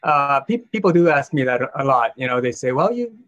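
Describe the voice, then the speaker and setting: A man's voice: medium pitch, sing-song, a little fast, a bit bright, dull audio. A man who is a parent, moderating a live panel discussion and putting open questions to the other panelists. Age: adult parent.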